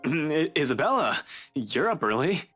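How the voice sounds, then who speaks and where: A man's voice: a little high, very animated, a little slow, bright, forceful tone, slightly rough, soft background music, exaggerated voice. An actor playing a dry, teasing, slightly awkward young man in a visual novel. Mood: hesitant. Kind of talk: visual novel game voice acting.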